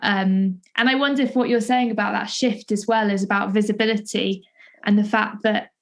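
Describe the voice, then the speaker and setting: A woman's voice: mid-range voice, fairly even intonation, normal speed. A young British woman conversationally interviewing, with a tentative, discursive way of thinking aloud. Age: young adult. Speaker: podcast interviewer and playwright.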